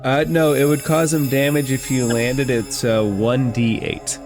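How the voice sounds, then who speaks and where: A man's voice: medium pitch, flat delivery, a little slow, music in the background. A man acting as game master, narrating and explaining rules in a conversational way during a tabletop role-playing game.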